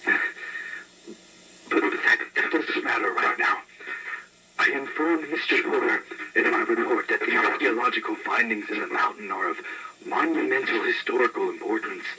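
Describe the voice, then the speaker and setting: A man playing a shaken archaeologist giving grim, halting testimony in a horror drama. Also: mid-range voice, noisy background, natural voice. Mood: grave, resolute. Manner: halting, grave, repeating himself. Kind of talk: horror monologue, recorded testimony.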